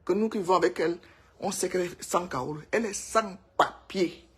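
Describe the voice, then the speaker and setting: Cameroonian woman vlogger, husky breathy voice, excitable, chatty gossip to camera. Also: lowish voice, brisk. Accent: Cameroonian French. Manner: emphatic, excitable, repeats herself for effect. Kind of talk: casual gossip monologue to viewers.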